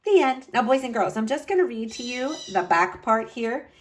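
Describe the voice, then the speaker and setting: Woman, storybook read-aloud for kids, higher voice, big lively pitch swings. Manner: expressive, slow dramatic emphasis with wide pitch swings. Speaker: storyteller reading to children. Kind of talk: picture book read-aloud.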